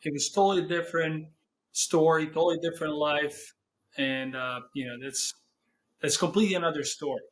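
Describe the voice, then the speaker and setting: A young man speaks with a Serbian accent, his slightly raised voice carrying relaxed interview answers. English is not his first language, and he pauses now and then to find the right word. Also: a little high, low-quality recording. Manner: relaxed, searching for words in non-native English. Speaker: guest, business owner.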